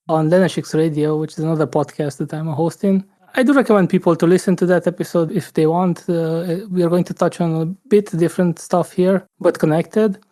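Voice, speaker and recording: A man's voice: on the high side, darkish tone. A man hosting a climate podcast, speaking thoughtfully with frequent hesitations and fillers. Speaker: podcast host. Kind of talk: casual podcast conversation.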